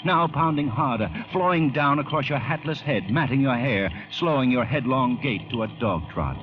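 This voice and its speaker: An actor narrating an old-time radio drama as a grave, intense storyteller with a deliberate, dramatic delivery. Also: male voice, mid-range voice, expressive, loud music behind the voice, lightly acted. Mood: tense. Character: grave, intense second-person narrator of doom. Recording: muffled vintage radio recording.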